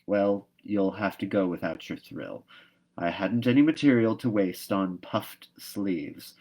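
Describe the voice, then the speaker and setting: A man's voice: sits low, darkish tone. Man reading as a stern, practical older woman. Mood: dismissive. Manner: brisk, scolding, emphatic.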